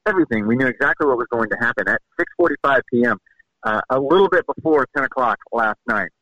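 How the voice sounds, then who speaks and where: A man's voice: medium pitch, expressive, fast, sharp tone, dry recording, highs cut off. A man giving an on-site report as a golf reporter, recounting the events step by step with urgency. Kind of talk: rapid on-air report.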